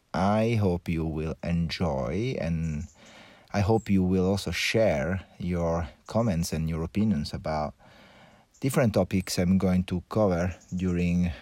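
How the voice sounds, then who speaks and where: A man from Italy with an Italian accent, hosting a podcast as a personal monologue in reflective, halting phrases with repeated restarts. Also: sits low, warm tone, dry recording. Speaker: podcast host.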